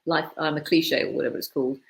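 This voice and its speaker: Low-voiced older woman, chatty and wry. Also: fast talker, vocal fry. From East Africa. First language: Swahili. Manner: chatty, wry, trails off mid-thought. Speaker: guest. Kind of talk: casual conversation.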